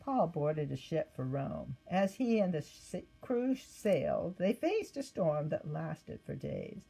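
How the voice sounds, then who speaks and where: A woman reading a scripted children's Bible story aloud in an expressive manner. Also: deep voice, takes it slowly, creaky, slightly rough, rough recording. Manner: expressive read-aloud with acted quotes. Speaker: storybook reader.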